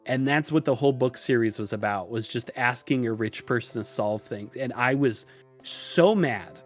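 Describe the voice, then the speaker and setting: Male podcast guest, conversational storyteller, animated and self-deprecating, medium pitch. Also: normal speed, soft background music. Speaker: podcast guest, illustrator and programmer.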